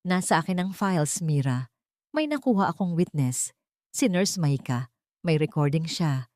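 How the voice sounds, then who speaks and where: A woman voicing a tired, sorrowful male lawyer in an audio drama story, his voice deep and weary. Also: lively intonation, moderate pace, a little mellow, no echo, studio quality, natural voice. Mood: businesslike.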